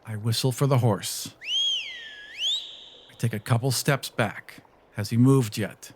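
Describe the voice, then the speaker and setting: A man's voice: medium pitch, fairly even intonation, a little slow, noisy background. A man hosting a podcast, casually narrating as a tabletop roleplaying player.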